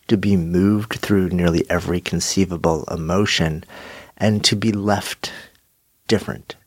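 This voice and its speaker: A middle-aged man hosting his own podcast, talking reflectively with a slightly nasal voice from a cold and pausing often. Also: low-pitched, no echo, studio quality. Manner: thoughtful, measured, with frequent pauses and fillers. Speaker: podcast host. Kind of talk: solo reflective podcast monologue.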